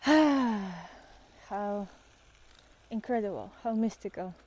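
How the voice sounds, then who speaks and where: Slow-paced, mellow woman musing outdoors. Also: medium pitch, expressive, low-quality recording. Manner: musing, halting, trailing into breathy near-whispers. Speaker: spiritual vlogger and guide. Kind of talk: unscripted reflective talk while walking in nature. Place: outdoors on a walk in nature.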